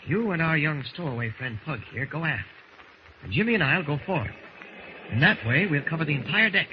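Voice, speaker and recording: An actor playing a firm, protective, confident leader in an old-time radio adventure, commanding and reassuring. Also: male voice, on the high side, big pitch swings, a little fast. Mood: decisive. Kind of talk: 1940s radio acting. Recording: dull, hissy old radio recording.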